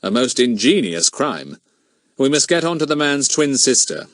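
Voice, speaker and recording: A man's voice: medium pitch, big pitch swings, moderate pace, fairly bright tone, no echo, studio quality, pitched above the performer's own voice. A man voicing an authoritative, composed police inspector in a storybook reading. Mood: cool command.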